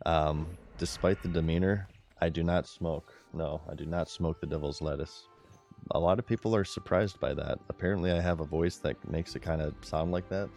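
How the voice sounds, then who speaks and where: A man with a very low, deep voice talks casually through a game stream, giggly and relaxed as he chats with viewers. Faint music plays behind him. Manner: giggly, laid-back, chatting to viewers while playing.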